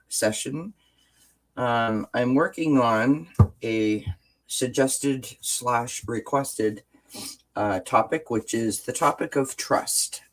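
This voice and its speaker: A woman hosting a casual livestream from home with a stuffed-up nose, greeting viewers in the chat by name with pet names and often pausing on filler words. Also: rumbling low, rough recording. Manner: frequent filler words and restarts. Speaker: livestream host. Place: indoors at home, lights off, sunny window behind.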